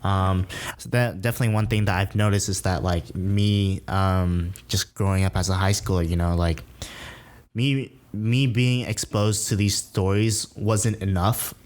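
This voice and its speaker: A man's voice: deep voice, fairly even intonation, a little slow, darkish tone. A young man hosting a podcast in a casual, chatty way. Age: young adult. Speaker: podcast host. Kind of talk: casual chat.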